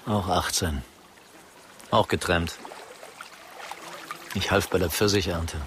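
Subdued entrepreneur, lowish voice, faint hiss. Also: male voice, fairly even intonation, takes it slowly. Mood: musing. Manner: musing, subdued. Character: weary male entrepreneur, melancholy. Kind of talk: radio play dialogue.

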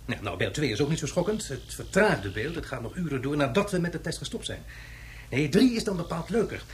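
A man's voice: fairly high pitch, sing-song, a little fast, slight background noise. Fussy, pedantic male professor. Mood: eager, explaining. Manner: hesitant, explanatory. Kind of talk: radio drama acting.

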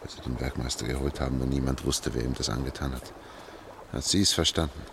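Very deep, gravelly steadfast man. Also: steady tone, fast. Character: steady middle-aged engineer and family man on the run. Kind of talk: German radio play acting. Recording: clear noise, watery ambience behind.

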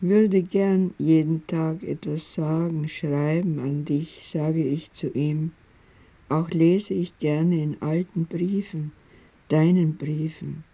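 A woman reciting a poetic literary text in measured, deliberate, short broken phrases. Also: deep voice, mellow, husky, highs cut off.

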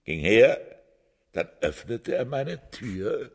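An actor playing an accused prisoner in a radio drama, pleading his innocence emotionally with a husky voice. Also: big pitch swings, slow pace, exaggerated voice. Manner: pleading, emotional, swinging pitch.

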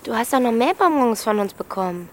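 A woman's voice: on the high side, expressive, fairly quick, a little hiss or hum, lightly acted. A woman playing a blunt, bossy thirteen-year-old girl in a naturalistic radio drama. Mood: bossy, probing. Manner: blunt, colloquial, questioning.

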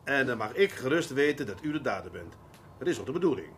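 An actor playing a seasoned, middle-aged police detective in a radio drama, measured and formally polite with a dry, ironic edge and a husky voice. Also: male voice, medium pitch, very animated. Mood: ironic, probing. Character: seasoned senior police detective, patient, wry, probing. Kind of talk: Dutch radio drama acting.

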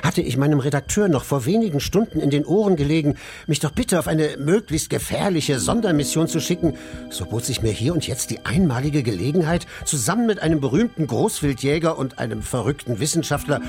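A man's voice: on the high side, lively intonation, a little fast. An actor playing an eager, adventurous young male reporter who narrates his own story in a radio drama. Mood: excited. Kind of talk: scripted first-person radio drama narration. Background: clearly audible music.

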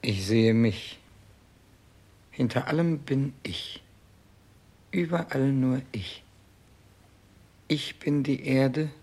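An actor playing a dying, bewildered, self-absorbed king in a 1960s German radio play, declaiming in a halting, incantatory way. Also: medium pitch, darkish tone, breathy edge, exaggerated voice. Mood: grandiose.

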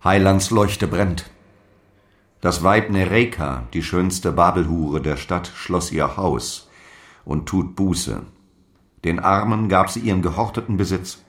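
An actor playing a zealous apostle in a radio drama, declaiming solemn, archaic prophecies and defiant last letters. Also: male voice, low-pitched, slow pace. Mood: solemn, fervent. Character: fiery apostle dictating zealous letters, defiant before his death.

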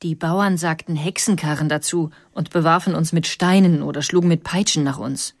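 A woman playing an indignant motoring pioneer recalling her past in a dramatised account, with a creaky voice. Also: sits low, steady tone, normal speed. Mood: indignant recollection. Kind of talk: dramatised first-person recollection.

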